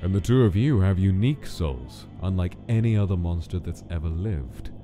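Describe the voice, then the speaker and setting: A cold monster scientist played by a man in a dramatic comic dub speaks very low, with measured, clinical detachment and a weary edge. Music plays prominently behind the voice. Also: lively intonation. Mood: clinical, detached.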